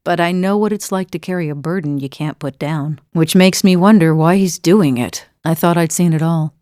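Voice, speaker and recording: A woman's voice: deep voice, a little mellow, dry recording, studio quality. An actress playing a wry, world-weary adventurer woman in a video game, conversational and reflective, as if haunted by old memories. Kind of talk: video game character dialogue.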